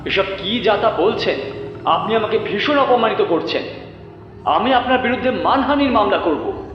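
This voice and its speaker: A man's voice: high voice, lively intonation, normal speed. A man playing a hesitant, insulted writer in a horror audio drama, protesting defensively. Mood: offended. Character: insulted, hesitant male writer growing indignant. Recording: heavily reverberant dull hall sound, music behind.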